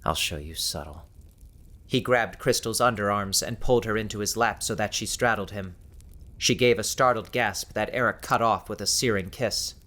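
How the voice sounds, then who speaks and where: A man with a fairly low voice narrates an audiobook. His delivery is measured and descriptive, shaped as scripted fiction storytelling. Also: steady tone, sharp tone.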